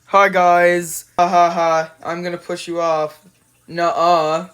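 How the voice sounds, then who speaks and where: A woman's voice: fairly low pitch, flat delivery, slow, a bit bright, clear, resonant tone. A woman performing short, exclamatory character lines in the style of an amateur animation voice reel. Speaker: amateur voice actor.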